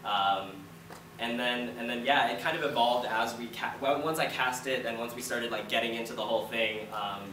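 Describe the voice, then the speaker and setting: A man's voice: mid-range voice, moderate pace. Early-20s American male, informal rambling panel storyteller, roomy and noisy recording. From United States, Florida. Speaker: student playwright on a discussion panel. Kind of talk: casual panel storytelling and answers. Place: indoor room, public post-show discussion. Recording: very noisy, echoey room, far from mic.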